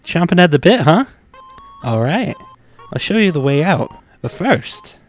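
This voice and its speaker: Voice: very animated, a little slow. A grating, swooping little evil flower. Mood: sly. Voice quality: high-pitched, grating. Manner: folksy, chirpy, mock-friendly. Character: small talking flower, falsely cheerful, sinister underneath. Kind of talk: animated fan fiction character acting. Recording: music behind the voice.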